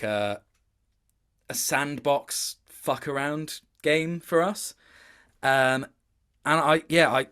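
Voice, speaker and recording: A man's voice: medium pitch, fairly bright tone. A man in his late twenties talking casually as an interview guest, rambling and correcting himself as he tells stories. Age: late 20s. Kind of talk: casual gaming interview chat.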